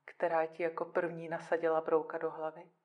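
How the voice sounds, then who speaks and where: An actress playing a controlling psychiatrist in an audio drama, speaking in a measured, formal and condescending manner. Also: female voice, low-pitched, monotone, highs cut off, lightly acted. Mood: patronizing, firm. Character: controlling, clinical female psychiatrist, patronizingly calm.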